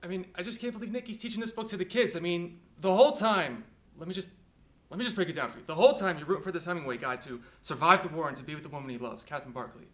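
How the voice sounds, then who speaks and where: A man's voice: sits high, racing, fairly bright tone. A young male student actor playing an agitated, exasperated son in an indignant, emphatic monologue that doubles back on itself. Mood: incredulous. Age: young adult. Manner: indignant, emphatic, repeats himself. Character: agitated, exasperated grown son ranting to parents. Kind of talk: performed film monologue.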